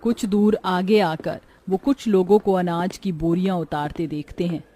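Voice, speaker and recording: A woman's voice: on the low side, steady tone, unhurried, dark tone. A woman narrator reading a scripted moral story in a solemn storytelling manner.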